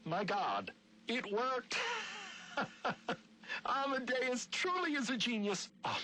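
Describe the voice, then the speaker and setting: An actor playing a vengeful nineteenth-century gentleman schemer narrating his journal in a game, pompous, florid and melodramatic. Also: very high voice, very animated, slow, exaggerated voice. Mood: manic glee. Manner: pompous, florid, melodramatic, with cackling and hushed menace. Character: gleefully vengeful 19th-century gentleman schemer, theatrical diarist.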